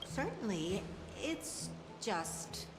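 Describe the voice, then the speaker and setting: A woman's voice: mid-range voice, sing-song, extremely slow. A woman playing a courteous, chatty and slightly hesitant female market stallkeeper in a video game. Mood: flustered, conceding. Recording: echoey hall, music behind.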